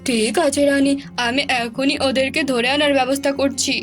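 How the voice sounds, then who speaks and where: A stern cartoon king acted by a woman, fatherly and commanding. The voice is high and formal, with light music behind it. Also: fairly even intonation, normal speed, lightly acted.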